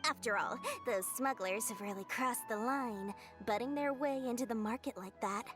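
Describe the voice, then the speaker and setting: An actress playing a shrewd, playful, money-minded merchant in a video game, with a teasing, sing-song manner. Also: female voice, fairly high pitch, moderate pace, a bit bright, faint music behind, natural voice. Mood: scheming, smug. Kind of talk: video game character dialogue.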